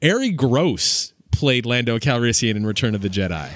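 A man's voice: medium pitch, very animated, moderate pace, fairly bright tone, dry recording. A man on a podcast panel chatting casually, with a wordy, analytical way of talking. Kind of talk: casual discussion.

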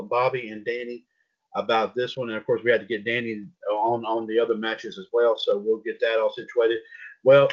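A man hosts a casual wrestling talk show in a loose, rambling way, circling back over his own words and padding his lines with filler. His voice sits at an ordinary pitch for a man. The sound is dull and lo-fi. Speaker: podcast host.

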